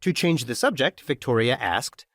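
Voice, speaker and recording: A man's voice: mid-range voice, lively intonation, moderate pace, a bit bright, no room sound, clean studio sound. A man reading scripted fiction narration as an audiobook narrator.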